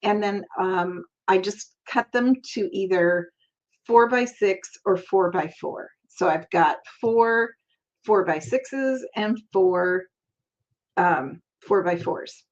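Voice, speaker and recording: An older woman talks through a crafting project in a chatty, friendly way, laughing often. Her voice sits at a middle pitch. The recording sounds a little dull and lo-fi, picked up by a camera mic at her craft table. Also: slow pace. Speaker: crafting channel host. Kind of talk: casual crafting tutorial.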